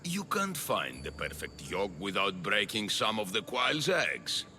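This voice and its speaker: An actor playing a scheming, condescending politician villain in a video game, theatrical and patronizing. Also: male voice, on the low side, big pitch swings, unhurried, sharp tone, faint music behind, heavily acted. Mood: smug. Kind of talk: dramatic video game cutscene acting.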